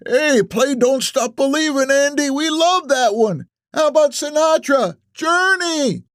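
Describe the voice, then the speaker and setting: A rowdy old man, pitched way up and strained. He is querulous and insistent, calling out loudly in storybook dialogue. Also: lively intonation, measured pace, dry recording, clean studio sound, heavily acted. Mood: eager, rowdy. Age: older. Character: rowdy cranky old male bar patrons heckling and requesting songs. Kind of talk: acted audiobook dialogue.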